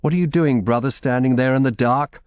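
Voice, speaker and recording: A man's voice: medium pitch, brisk, a little mellow, clean tone, no echo, clean studio sound, pitched above the performer's own voice, close to an everyday voice. A man voicing a stern, impatient royal official in an audiobook, curt and authoritative. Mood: wary, probing. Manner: brusque, authoritative.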